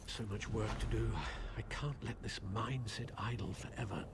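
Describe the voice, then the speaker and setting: An actor playing an effusive, doting mine keeper in a fantasy video game. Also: male voice, on the low side, steady tone, normal speed, natural voice. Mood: busy, determined. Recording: noisy game audio with ambience.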